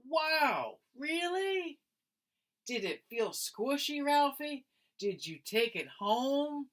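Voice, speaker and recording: A man voicing excited, curious young classmates from a storybook, with eager, wide-eyed exclamations and questions. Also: very high voice, extremely slow, pitched above the performer's own voice, heavily acted. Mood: amazed, curious.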